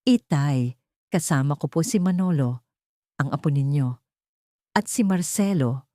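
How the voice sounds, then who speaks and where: A devoted young Filipino mother in grief, voiced by a woman narrator, speaks softly and tenderly. Her voice is fairly low, in a very dry audiobook recording. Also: expressive, unhurried, dry recording. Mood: tender, hushed. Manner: soft, tender.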